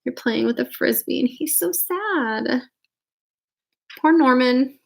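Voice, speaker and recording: Female storyteller, higher-pitched with creaky edges, chatty and expressive, for a children's read-aloud. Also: slow pace. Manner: expressive, chatty, sympathetic asides to listeners. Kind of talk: animated picture-book read-aloud with casual asides.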